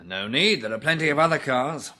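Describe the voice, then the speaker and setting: An actor playing a capable, level-headed British man surviving a catastrophe, brisk and protective, in a vintage radio drama style. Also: medium pitch, lively intonation, lightly acted. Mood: steady, subdued. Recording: noisy old radio recording.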